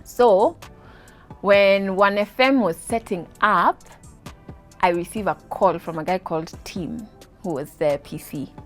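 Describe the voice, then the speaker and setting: A Kenyan woman in her thirties tells her story in a casual interview, her voice lively and quick to laugh. She drops Swahili words into her English, with faint music playing behind her. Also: mid-range voice. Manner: animated, laughing, mixes in Swahili. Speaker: guest.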